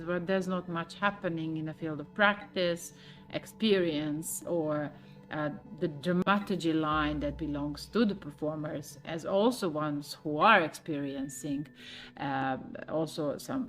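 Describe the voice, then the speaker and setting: A woman, a choreographer, answering interview questions thoughtfully with frequent pauses and fillers. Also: low-pitched. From Serbia.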